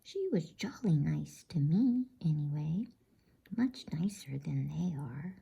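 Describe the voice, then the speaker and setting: A woman whispering softly in a gentle, unhurried, hushed ASMR style as she hosts and reads a bedtime story. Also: sits low, animated, muffled recording. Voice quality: breathy, soft whisper. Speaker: host and reader of a bedtime story channel.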